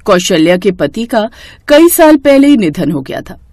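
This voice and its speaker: A woman's voice: mid-range voice, big pitch swings, unhurried, mellow, no room sound, clean studio sound. A woman narrating a scripted story in storybook style.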